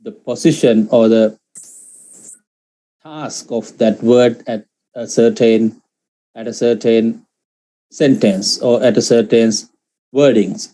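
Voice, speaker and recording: A man whose first language is Sinhalese, teaching Pali grammar in an online lecture, speaking in halting phrases with many fillers and restarts. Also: medium pitch, fairly even intonation, dark tone, poor audio. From Sri Lanka.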